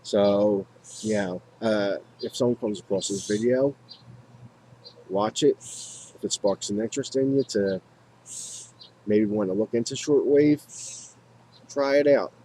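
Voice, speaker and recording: American guy w/ a lowish voice, rambles casually and repeats himself, noisy rumbly background. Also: slow, long pauses, dark tone. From United States. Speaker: hobbyist video maker. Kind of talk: casual unscripted talk to viewers.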